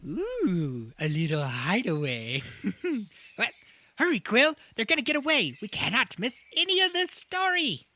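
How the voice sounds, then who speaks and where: A performer voicing an excitable, bumbling halfling who huffs, exclaims and giggles in an audio drama. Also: mid-range voice, unhurried, a little hiss or hum, heavily acted. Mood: excited. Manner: exclaiming, huffing, giggling, swooping. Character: excitable, bumbling halfling storyteller companion named Solomon. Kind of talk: audio drama acting, muttering to self.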